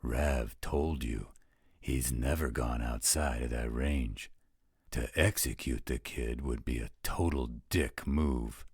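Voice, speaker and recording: Man playing a very deep, gruff protective werewolf. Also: takes it slowly, no echo, studio quality, full character voice. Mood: scornful, blunt. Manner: blunt, coarse-mouthed. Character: gruff, protective male werewolf guardian, blunt and confrontational. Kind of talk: scripted roleplay audio drama.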